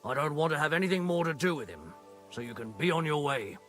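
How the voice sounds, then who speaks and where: An actor playing a gruff, wary medieval horse keeper in a video game, with husky, grudging, rustic speech. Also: male voice, fairly high pitch, lively intonation, quick pace, a bit bright, faint music behind. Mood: curt, hostile. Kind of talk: video game dialogue acting.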